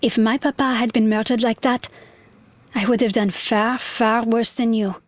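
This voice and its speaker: A woman's voice: a little high, steady tone, normal speed, dry recording, studio quality, natural voice. An actress voicing an earnest, eager young woman engineer.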